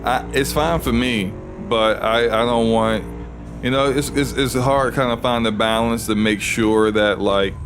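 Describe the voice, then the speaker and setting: A man with a deep voice chatting casually while he streams a video game, rambling and restarting his sentences. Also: unhurried, music in the background. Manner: rambling, relaxed, frequent restarts. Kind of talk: casual gaming livestream commentary.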